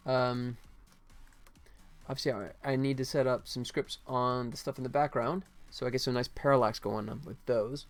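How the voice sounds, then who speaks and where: Male game-dev streamer, mid-pitched, off-the-cuff and self-muttering, music underneath. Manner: muttering to himself, then chatting to viewers. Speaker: game developer live streamer. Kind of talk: casual live stream chat while working.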